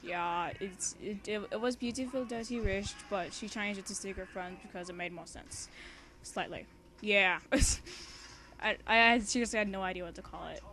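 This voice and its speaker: A young woman with an informal, giggly, excitable manner, reading a story aloud casually and breaking off into bantering asides. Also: medium pitch, moderate pace, a little hiss or hum. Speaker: amateur fan fiction reader and co-host.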